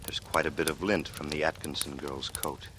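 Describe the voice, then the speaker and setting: A man's voice: extremely low pitch, flat delivery, normal speed. An American actor in a 1940s radio drama playing an earnest, pained policeman confronting an old friend. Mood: grave, insistent. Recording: noisy vintage 1940s radio recording.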